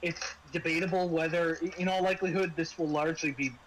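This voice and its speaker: Halting higher-pitched man as game master. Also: fairly even intonation, background noise. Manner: halting, trailing repetitions. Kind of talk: wrapping up a tabletop game in casual talk.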